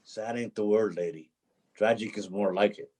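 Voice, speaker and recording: A man's voice: lowish voice, normal speed, darkish tone, slightly rough. A man playing a homeless drifter in a play reading, a cynical, bruised romantic who tells his story in a folksy, dramatic way. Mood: rueful. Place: remote video call reading.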